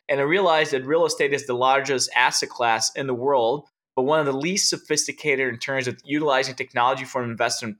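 A man from Brazil talks as an interview guest. His voice is bright and forceful at a middle pitch. He explains at length, with many fillers and restarts. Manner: rambling explanations full of "like" and restarts. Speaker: guest, real estate tech founder. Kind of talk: casual interview talk.

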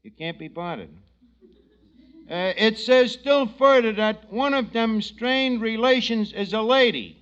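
An actor playing a brash, slangy, scheming tavern manager in a 1940s radio comedy. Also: male voice, high voice, measured pace, a bit bright, clean tone. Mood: fast-talking, sly. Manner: slangy, ungrammatical, mangles words. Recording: dull vintage radio broadcast recording.

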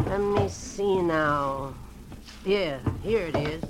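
An actress playing a sly, mercenary old rooming-house manager with folksy, slangy speech in 1940s radio style. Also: female voice, lowish voice, slow, background noise. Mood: folksy, confiding. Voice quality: slurred through missing front teeth. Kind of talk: 1940s radio character acting.

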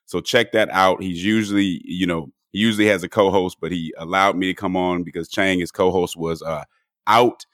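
A man hosting a podcast in relaxed conversational talk, his voice a little hoarse and cracking. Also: sits low, normal speed, no room sound, studio quality. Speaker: podcast host. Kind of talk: casual podcast chat.

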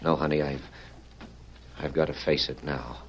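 An actor playing an earnest, fretful American fugitive in an old radio western, speaking haltingly. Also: male voice, very deep voice, steady tone, slightly rough. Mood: grave, resigned. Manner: hesitant, halting speech. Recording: muffled vintage radio drama recording.

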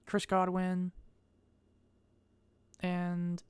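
A young adult woman vlogging in a casual, rambling way. She speaks in a monotone and hesitates often, with many fillers. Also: fairly low pitch, very slow, smooth, dry recording.

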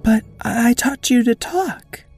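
A man voicing an earnest young woman time traveler, speaking high and urgent over soft music. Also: animated, measured pace, full character voice. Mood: eager.

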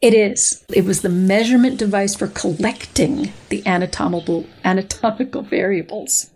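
A middle-aged woman, a guest scientist, reads a research paper aloud and explains it in conversation with a precise, playful, deliberate manner. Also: medium pitch, a little mellow, slight background noise. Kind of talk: dramatic reading and explanatory conversation.

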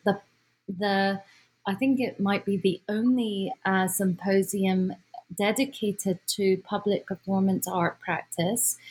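A woman with a Scottish accent informally presenting an event as its organizer, speaking haltingly as she searches for words. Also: medium pitch, darkish tone, husky, poor audio. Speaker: symposium organizer, guest speaker.

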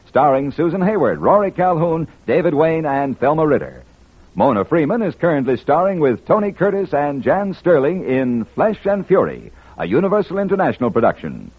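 A man's voice: a little high, husky. A man announcing a 1950s radio show from a script. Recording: thin, muffled old radio recording.

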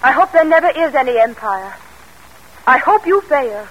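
A woman's voice: high-pitched, lively intonation, moderate pace, highs cut off. An actress playing a headstrong, devoted daughter and young mother in old-time radio drama, emphatic and dramatic. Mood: impassioned. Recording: noisy vintage radio recording.

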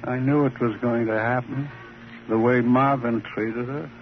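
A man's voice: mid-range voice, fairly even intonation, unhurried, natural voice. Man acting troubled ventriloquist, tender, earnest, fragile, old radio drama, dull recording with slight hiss. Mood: tender, wistful. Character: troubled, tender ventriloquist devoted to his dummy.

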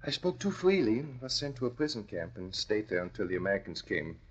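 A man's voice: on the low side, very animated, fast, a little hiss or hum. A man acting as an earnest, formal immigrant doctor, grave in manner, in a 1940s radio drama. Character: earnest immigrant doctor, survivor of Nazi prison camp. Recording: dull vintage radio recording.

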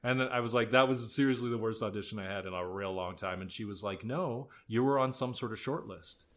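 A man's voice: on the low side, a little fast, fairly bright tone. A young adult man, a musical theatre actor, telling audition stories in a laid-back, self-deprecating, chatty way. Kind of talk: casual storytelling interview.

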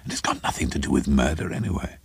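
A man playing an anxious, impatient man who fears for his sanity in a story, hushed, hesitant and irritable. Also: a bass voice, animated, fast, creaky, slightly rough, no room sound, heavily acted. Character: anxious, impatient man afraid of going mad.

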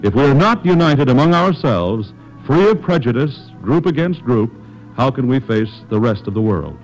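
A man's voice: mid-range voice, very animated, moderate pace, darkish tone, soft background music, low-quality recording. An American man reading scripted announcements in an earnest, formal, persuasive manner on a vintage 1940s radio broadcast.

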